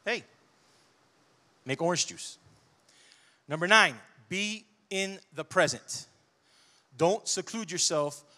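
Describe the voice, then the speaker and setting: A man in his forties preaching a sermon on a church stage into a handheld mic, conversational and animated, with personal asides. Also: a little high.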